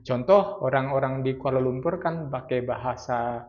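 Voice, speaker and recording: A man's voice: mid-range voice, moderate pace, dull audio. A man from North Sumatra with a Batak-tinged accent, chatting casually as a travel vlogger and mixing in English phrases. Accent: Batak-inflected Indonesian. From North Sumatra, Indonesia.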